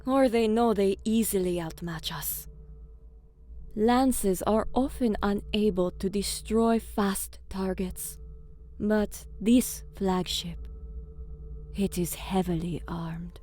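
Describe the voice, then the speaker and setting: A woman playing a shrewd, guarded alien commander in a science fiction audio drama, speaking in a measured, formal way. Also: medium pitch, dark tone, close to an everyday voice. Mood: cool, wary.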